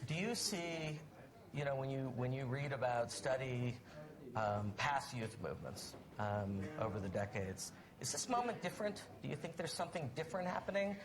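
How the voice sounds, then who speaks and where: A man moderating a live panel, searching for his words as he puts questions, with an audible crowd in the background. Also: medium pitch.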